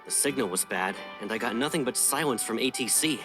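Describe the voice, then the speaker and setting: A man's voice: medium pitch, lively intonation, a little fast, natural voice. An actor playing a confident, affectionate young male pilot in a video game, with a playful, teasing manner. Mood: mock serious. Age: young adult. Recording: game audio with music behind. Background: music.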